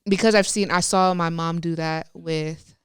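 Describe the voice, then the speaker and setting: A woman's voice: lowish voice, fairly even intonation, normal speed, smooth, no echo, clean studio sound. A young woman co-hosting a faith podcast, chatting casually in a rambling, self-interrupting way. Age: young adult. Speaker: podcast co-host. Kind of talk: casual chat.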